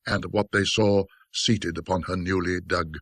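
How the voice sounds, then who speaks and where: A man narrating classic ghost stories in a theatrical, old-fashioned literary style, with a wry, scholarly, self-deprecating manner and dramatic pauses. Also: low voice, flat delivery, a bit bright, no room sound, clean studio sound.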